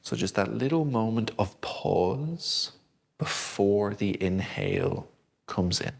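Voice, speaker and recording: A man gently guiding a breathing exercise, speaking slowly and soothingly. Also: on the low side, animated, vocal fry. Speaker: breathing instructor. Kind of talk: guided breathing lesson.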